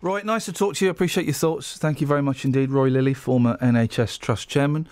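A man's voice: medium pitch, fast talker, darkish tone, smooth, no echo. A man hosting a radio show, talking bluntly and casually with frequent restarts. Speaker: radio presenter.

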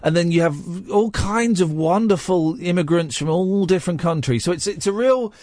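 A man's voice: high voice, moderate pace, a little mellow, no room sound. A man from Slough with a southern English accent, hosting a live phone-in with dry, teasing banter. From Slough, England. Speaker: radio phone-in host. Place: radio studio.

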